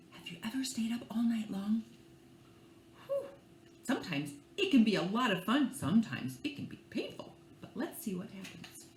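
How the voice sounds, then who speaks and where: A woman reading a picture book to young children in an expressive, playful and theatrical way, drawing out words and adding hushed asides. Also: medium pitch. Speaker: librarian reading at children's storytime. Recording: echoey room with faint background noise.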